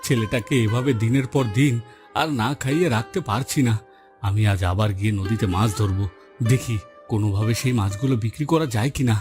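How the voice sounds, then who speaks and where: A man's voice: lowish voice, brisk, over music, lightly acted. A man voicing an earnest, humble poor village fisherman, a husband and father, in a cartoon story. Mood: resolved. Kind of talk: Bengali moral story cartoon dubbing.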